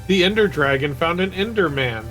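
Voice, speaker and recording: Man, fairly high voice, storybook read-aloud, casual gamer chat, faint music behind. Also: lively intonation, normal speed, fairly bright tone. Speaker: guest co-player reading stories aloud.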